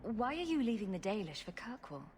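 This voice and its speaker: A woman's voice: medium pitch, very animated, brisk, a little mellow, slight background noise, close to an everyday voice. A woman playing a young human heroine in a fantasy video game, speaking in a dry, wry and direct manner. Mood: curious, skeptical.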